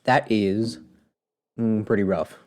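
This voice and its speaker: A man's voice: fairly low pitch, steady tone, takes it slowly, darkish tone. A man giving casual live gameplay commentary as a streamer, in a wry, rambling way. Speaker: game streamer.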